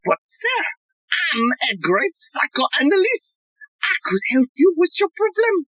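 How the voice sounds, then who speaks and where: Woman as a shrill, flamboyant male psychoanalyst. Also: big pitch swings, a little slow, a bit bright, heavily acted. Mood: pompous, eager. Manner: shrill, melodramatic. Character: flamboyant, hysterical male Viennese psychoanalyst, henpecked and lecherous. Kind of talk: farcical audio play acting.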